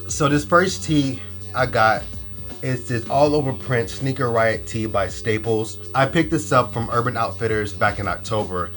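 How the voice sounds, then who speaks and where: A young man talks in a relaxed, rambling way, slangy and full of fillers. His voice sits a little low and sounds stuffed up and nasal from a cold. Music plays clearly behind him. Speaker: fashion vlogger. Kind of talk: casual haul video.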